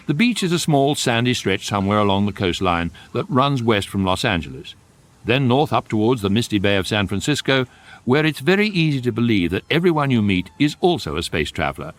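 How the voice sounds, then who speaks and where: An actor playing the wry, urbane, measured narrator of a guidebook in a radio comedy, with a British accent. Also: male voice, mid-range voice, sing-song, close to an everyday voice.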